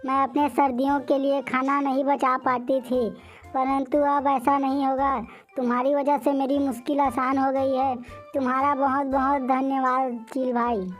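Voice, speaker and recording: An earnest little storybook bird, acted by a woman, pleads and lectures in a very high voice. The delivery stays flat and even, with music underneath, as this hardworking creature makes her moral case. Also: normal speed, lightly acted. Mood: grateful. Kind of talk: Hindi animated moral story dialogue.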